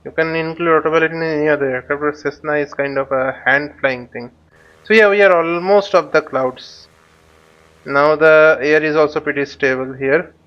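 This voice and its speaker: Higher-voiced man with a clear, smooth tone. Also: steady tone, dull audio. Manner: halting, repeats and rephrases phrases. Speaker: flight simulator instructor and channel host. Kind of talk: live tutorial commentary while flying a simulator.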